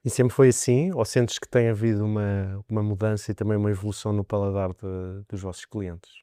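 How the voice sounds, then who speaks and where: A man with a European Portuguese accent hosting a podcast, asking questions in a relaxed, hesitant way full of fillers. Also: fairly low pitch, brisk, smooth, dry recording, studio quality. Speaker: podcast host.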